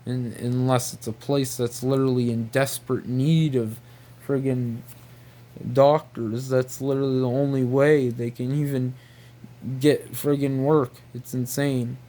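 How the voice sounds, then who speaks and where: Slow-talking, exasperated man. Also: medium pitch, little pitch movement, warm tone. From New Brunswick, Canada. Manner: exasperated, incredulous, repetitive, mild slang filler swearing. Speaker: video creator. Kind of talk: casual rambling commentary to viewers.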